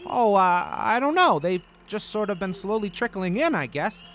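A man's voice: high-pitched, big pitch swings, moderate pace, fairly bright tone. A man playing an affable, placating buddy and announcer in a comic radio play, coaxing as he goes. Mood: affable announcing. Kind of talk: comic radio play acting, credits reading.

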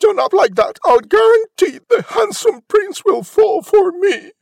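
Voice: very high-pitched, very animated, measured pace, gravelly, dry recording, clean studio sound, pitched above the performer's own voice, heavily acted. A narrator voicing a demanding, dramatic young Cinderella with theatrical, exclamatory rhymed lines in a storybook tale. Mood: demanding, whiny.

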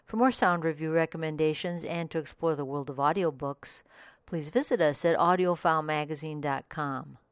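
A woman's voice: deep voice, normal speed, clean tone, no echo, clean studio sound. A woman reading a scripted review as a podcast host.

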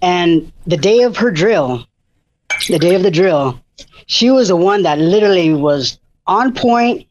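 An enthusiastic man talks in a rambling way, full of fillers and restarts. His voice sits a little high, and the recording sounds dull and muffled. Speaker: food bank disaster preparedness coordinator. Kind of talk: casual radio interview.

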